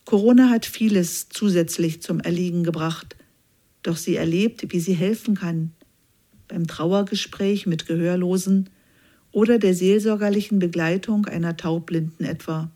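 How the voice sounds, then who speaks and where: A woman reading a scripted news feature aloud in an even, measured way. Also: fairly low pitch. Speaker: news reader.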